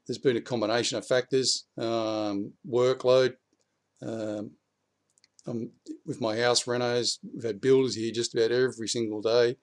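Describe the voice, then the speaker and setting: Croaky, blunt Australian man. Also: medium pitch, flat delivery, a little slow. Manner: blunt, swears, rising heat when urging. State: slightly croaky after flu. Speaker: prepping vlogger. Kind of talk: casual political talk to camera. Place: outdoors in his backyard.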